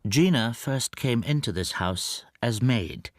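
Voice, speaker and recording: An actor in a radio drama playing a meek, anxious middle-aged bachelor, speaking hesitantly and confidingly. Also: male voice, medium pitch, lively intonation, slow, dry recording. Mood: subdued, confiding. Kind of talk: radio drama acting with intimate first-person narration.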